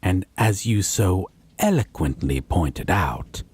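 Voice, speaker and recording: A man playing a possessive, menacing male vampire in a dramatic audio roleplay, husky and breathy, with taunting, drawn-out phrasing and whispered words. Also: deep voice, expressive, darkish tone, exaggerated voice. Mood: threatening, angry. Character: possessive, menacing, predatory male vampire, wounded yet domineering.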